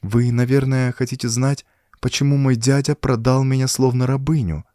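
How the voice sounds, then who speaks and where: A man voicing a frightened, bitter young woman in an audiobook, speaking in a plaintive, soft, emotional way. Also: medium pitch, steady tone, normal speed, no room sound, studio quality, pitched above the performer's own voice, natural voice. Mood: bitter, hurt. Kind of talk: audiobook character dialogue.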